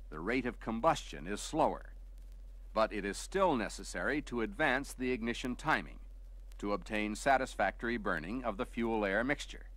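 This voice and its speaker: A man reading scripted technical narration for a vintage instructional film, explaining step by step in a measured, didactic way. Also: fairly low pitch, fairly bright tone.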